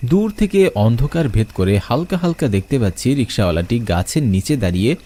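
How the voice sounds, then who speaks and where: A young man narrating a first-person horror story, expressive and asking questions as he goes. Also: medium pitch, fairly quick.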